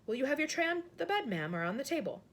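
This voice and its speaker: A woman's voice: sits high, big pitch swings, fast, pitched above the performer's own voice. A woman voicing an older, deferential maid who speaks in a hesitant, quivering, polite way. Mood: soothing.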